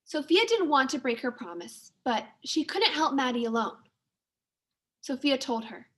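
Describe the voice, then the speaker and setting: A woman teacher reading a children's storybook aloud to young pupils in an expressive manner. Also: high voice, moderate pace. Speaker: second-grade teacher.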